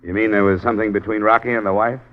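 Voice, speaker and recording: An actor playing a tough, persistent American insurance investigator, clipped and matter-of-fact, in an old radio detective drama. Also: male voice, on the low side, level tone, very fast, lightly acted. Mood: skeptical, pressing. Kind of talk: old-time radio detective acting and narration. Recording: dull vintage radio recording, background music.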